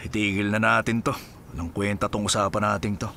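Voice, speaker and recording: A man's voice: fairly low pitch, steady tone, fairly quick, gravelly, bright, forceful tone, a little hiss or hum. A man playing an exasperated, impatient policeman in an audio drama, huffy and whining. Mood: fed up. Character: exasperated, impatient policeman caught up with his mistress. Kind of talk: Filipino radio-style drama acting.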